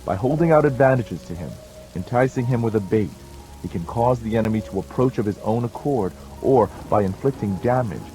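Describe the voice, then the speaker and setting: A man reading a dramatized audiobook as narrator, in a solemn, measured and declamatory manner. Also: fairly low pitch, animated, warm tone, soft background music, poor audio. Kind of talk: dramatized scripted narration of an ancient treatise.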